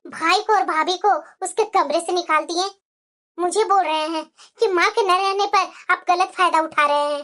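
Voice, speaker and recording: A woman plays a put-upon young housewife in a cartoon family drama. Her voice is high and bright, pitched up, with a deferential, plaintive manner that carries quiet resentment. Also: normal speed. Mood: hurt, resentful.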